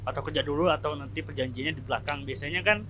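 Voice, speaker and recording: A man's voice: on the high side, quick pace, a bit bright, slight background noise. A man with a slight slur on his r sounds, hosting a casual, playful podcast chat and asking questions. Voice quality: slight slurred r (cadel). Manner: playful, colloquial, teasing banter.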